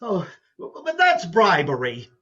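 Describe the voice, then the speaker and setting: A man's voice: sits high, huge pitch swings, slow pace, pushed and bright, husky, muffled recording, exaggerated voice. A man playing a flustered, reluctant doctor who protests hesitantly in a stage farce. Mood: dismayed. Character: flustered, reluctant mild male doctor, easily put upon. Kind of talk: stage farce readers theater acting.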